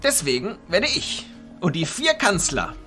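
Man playing a theatrical, high-pitched elderly arch-chancellor. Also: huge pitch swings, sharp tone, faint music behind, full character voice. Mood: commanding, grave. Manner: theatrical, deliberate, musing. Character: elderly, authoritative male arch-chancellor of illusionists. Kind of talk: fantasy audio drama acting.

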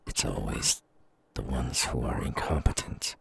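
Very deep, gravelly, menacing demon. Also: male voice, fairly even intonation, noisy background, exaggerated voice. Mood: sneering. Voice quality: very deep, gravelly growl with breathy drops. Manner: slow, threatening, sneering. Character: menacing, gloating male demon who eats humans. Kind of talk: ASMR audio roleplay.

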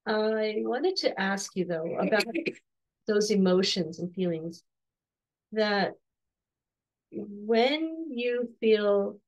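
A woman in her forties, slightly hoarse, gently interviewing her son at home on a video call with soft, encouraging questions and restarts. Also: mid-range voice, highs cut off. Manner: soft, encouraging, with frequent restarts and light laughs. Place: home room with bookshelves.

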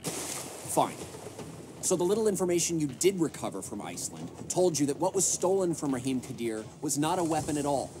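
An actor playing an accusatory American government official, formal and prodding, in a video game. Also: male voice, a little high, big pitch swings, a little fast, background noise. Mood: accusing. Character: accusatory male government official, smug and pressing. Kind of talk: cinematic video game acting. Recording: music behind the voice.

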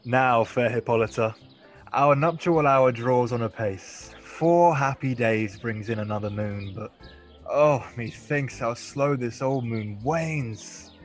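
Guy as noble commanding duke in shakespeare radio play, stately, mid-pitched male voice. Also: faint music behind, close to an everyday voice. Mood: longing. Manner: stately, measured, declamatory.

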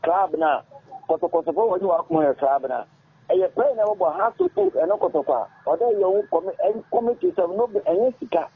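A middle-aged Ghanaian man talks at a studio mic in a raspy, gravelly voice that swings widely up and down. He speaks haltingly, repeats himself and mixes languages as he goes. The sound is thin and boxy, as if heard over a phone line. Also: high-pitched, wildly swooping pitch. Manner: halting, repetitive, switches languages mid-sentence. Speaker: interview guest, former footballer. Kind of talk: casual interview talk. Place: radio studio. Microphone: studio microphone on arm. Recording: muffled phone-like audio.

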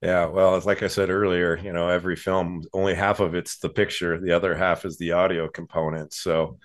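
Low-voiced middle-aged interviewer. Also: male voice, little pitch movement, fairly quick. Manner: casual, rambling with fillers. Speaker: host, documentary director. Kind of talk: video call interview. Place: home studio. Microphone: video call.

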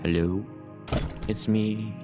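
A man's voice: lowish voice, steady tone, slow pace. A man giving casual let's play commentary as host, rambling and playful, often repeating his own phrases, short on sleep. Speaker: gaming YouTuber, host. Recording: music behind the voice.

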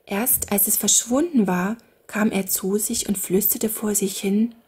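A woman reading a fairy tale aloud for children in a slow, gentle storytelling manner. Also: mid-range voice, slightly rough. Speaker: audiobook narrator.

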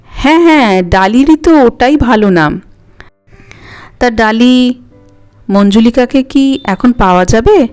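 A woman voicing a polite, hesitant elderly man on the telephone in an audio story, halting and quavery, with music behind. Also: a little high, lively intonation, darkish tone, clear, resonant tone. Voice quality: quavering, aged. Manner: halting, roundabout, courteous.

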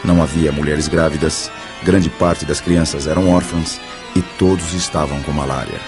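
Man, very deep voice, formal documentary narration, music underneath. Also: fairly even intonation. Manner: measured, formal.

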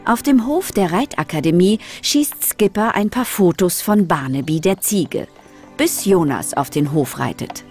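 A woman's voice: on the low side, lively intonation, measured pace, a little mellow, faint music behind. A woman narrating a scripted children's audio drama as a storyteller.

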